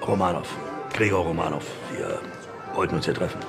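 Gravelly, laconic Berlin detective. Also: male voice, low voice, wildly swooping pitch, over loud music, full character voice. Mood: tipsy, guarded. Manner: laconic, halting, colloquial. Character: laconic, hard-drinking Berlin police detective, a man. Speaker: police detective. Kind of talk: naturalistic TV crime drama acting.

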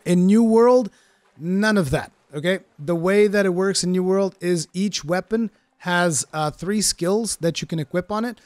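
A man's voice: high voice, a little slow, a little mellow, no room sound. A man from Portugal with an American-sounding English accent, casually explaining his first impressions of a game in a chatty way with frequent fillers. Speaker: gaming streamer. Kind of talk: casual game review commentary.

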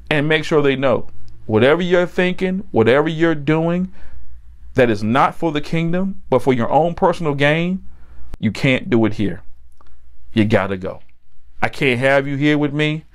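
A middle-aged American man preaches in a voice pitched a little higher than most men's. His sermon delivery is blunt and admonishing. He leans on repetition to press each point. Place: indoor set with backdrop, standing at a table.

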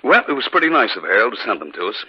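Low-voiced 1950s radio husband. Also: male voice, big pitch swings, very fast, a little hiss or hum. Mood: easygoing. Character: troubled husband Bert, recounting a haunting, easygoing at home. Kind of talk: 1950s radio drama acting and narration. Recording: dull vintage 1950s radio recording, music behind.

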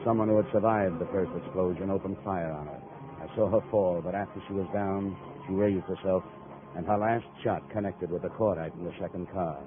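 Actor as deep-voiced hard-boiled radio investigator. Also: male voice, fairly even intonation, a little fast, close to an everyday voice. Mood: tense narration. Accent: American. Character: tough, world-weary male investigator narrating his own case. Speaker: lead actor and narrator. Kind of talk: 1950s American radio drama acting. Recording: muffled old radio recording, music behind.

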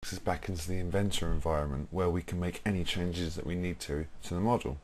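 Young man, British accent, very low pitch, slightly rough husky tone, informal step-by-step tutorial presenter. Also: steady tone, fast talker, a little mellow. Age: young adult. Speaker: tutorial presenter, design software specialist. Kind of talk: software tutorial narration.

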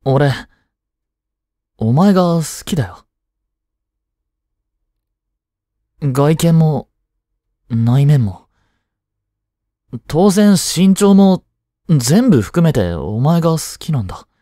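Teen schoolboy boyfriend, played by a young man: teasing yet tender, unhurried, lively intonation, intimate voice drama. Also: a little high, no room sound, studio quality. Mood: tender, earnest. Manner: casual, soft, hesitant pauses. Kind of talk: Japanese boyfriend voice drama, intimate one-sided dialogue.

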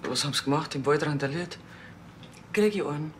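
A woman's voice: low voice, a little fast, a little hiss or hum, natural voice. An actress playing a nosy, cheeky, food-loving woman who talks in a chatty, curious way. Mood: curious, eager.

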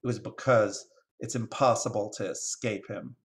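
A man's voice: lowish voice, steady tone, normal speed, close to an everyday voice. A man voicing a grumpy, bitter crow who speaks seriously and fatalistically in a storybook reading. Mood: grave. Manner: bitter, grave.

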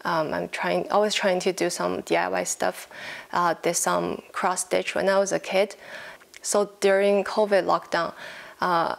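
Young woman w chinese accent just chatting to camera, kinda hesitant, crackly fry in her voice. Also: lowish voice. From China. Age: 20s. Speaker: knitting podcast host. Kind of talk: casual chat to camera. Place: living room at home, indoors.

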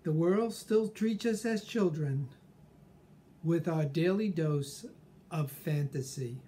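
An older man speaks very slowly in a reflective, scripted monologue. His voice sits a little high for a man and has a soft, mellow tone. He measures out each phrase with pauses, speaking indoors at home to a camera about an arm's length away. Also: slight background noise. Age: 60s or older. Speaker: personal growth speaker. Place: small furnished room at home, indoors.

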